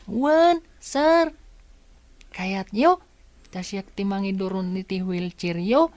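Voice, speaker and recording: Woman voicing a slow-talking, polite little boy. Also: mid-range voice, a little mellow, no echo, natural voice. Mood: polite, eager. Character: polite, playful, chatty young boy. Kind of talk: audio drama acting.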